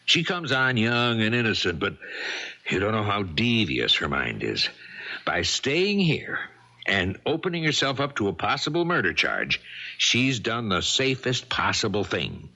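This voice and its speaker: An agitated, guilt-ridden husband in a seventies radio mystery. His voice is forceful and swings widely in pitch. He speaks haltingly, pressing each point with suspicion and urgency. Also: sing-song, lo-fi sound, full character voice. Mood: agitated, insistent. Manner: urgent, halting, repeats himself. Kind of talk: 1970s radio mystery acting.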